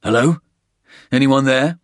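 A man's voice: mid-range voice, animated, brisk, no echo, studio quality, voice pushed up, natural voice. A man voicing a blunt, sardonic British soldier under fire in an audiobook thriller. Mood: tense, urgent.

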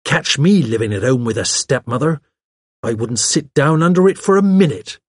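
A man's voice: mid-range voice, animated, normal speed, husky, dry recording, studio quality, pitched above the performer's own voice. A man voicing a chatty, arch young woman with a gushing, pert, colloquial way of talking, as in an audiobook. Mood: arch, confiding. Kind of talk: audiobook character voice.

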